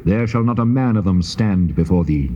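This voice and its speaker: An actor voicing God as a solemn, authoritative and reassuring presence in a dramatized scripture reading. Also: male voice, sits low, expressive, normal speed, warm tone. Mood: solemn reassurance. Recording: muffled old cassette, faint noise.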